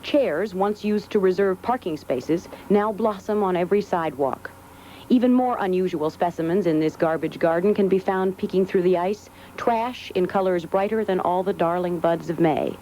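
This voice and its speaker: A woman's voice: fairly low pitch, moderate pace, warm tone, slight background noise, low-quality recording. An American woman narrating a whimsical scripted feature for television news. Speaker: TV news reporter.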